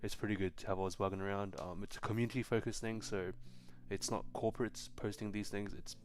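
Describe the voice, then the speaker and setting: Young guy, lowish voice, easygoing streamer chatting to viewers, soft music under. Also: quick pace. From China. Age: young adult. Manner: relaxed, frequent ums and restarts. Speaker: tech live streamer. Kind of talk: casual live stream Q&A.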